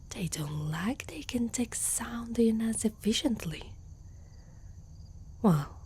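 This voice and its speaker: A gentle, curious centaur girl, acted by a woman in an ASMR roleplay. Her voice is mellow and soft, often slipping close to a whisper. She talks tenderly and without hurry to a single listener, with a protective air. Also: medium pitch, slightly rough. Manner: tender, unhurried, speaking closely to one listener.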